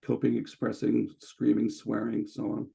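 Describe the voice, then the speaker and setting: A man in his forties, a guest psychologist, talking in a relaxed, hesitant way with many fillers. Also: medium pitch, unhurried, gravelly, breathy edge. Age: 40s. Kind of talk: casual conversation.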